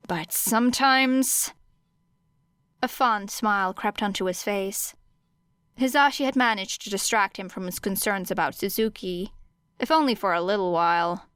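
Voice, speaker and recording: A woman narrating fiction as an audiobook, with expressive storytelling. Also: mid-range voice, clear, resonant tone, no room sound, studio quality.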